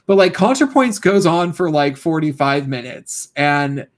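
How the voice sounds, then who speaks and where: Young man, hoarse, rambling streamer monologue, a bit higher-pitched than most men. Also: expressive, a little slow. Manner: rambling, full of fillers and restarts. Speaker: YouTuber live-streaming. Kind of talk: casual live-stream rant.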